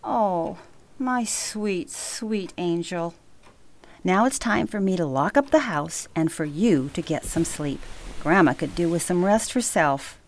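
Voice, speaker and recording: A woman playing a loving, bustling grandmother in a sentimental radio play, expressive, chatty and affectionately teasing. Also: on the low side, slow, a little hiss or hum. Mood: tender.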